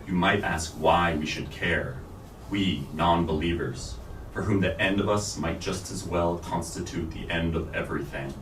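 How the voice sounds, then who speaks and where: A man with a very low voice reads literary prose aloud at a staged reading. His phrasing is deliberate and emphatic. The room echoes around him, the mic sits at some distance and there is faint noise. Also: fairly bright tone. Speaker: reader at a staged book reading. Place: bookstore event space.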